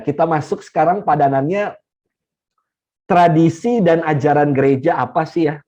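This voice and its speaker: Slow-speaking Indonesian priest, mellow voice. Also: male voice, on the high side. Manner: unhurried, repeats key phrases for emphasis, chuckles. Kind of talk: teaching talk to a congregation. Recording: slightly dull lo-fi recording.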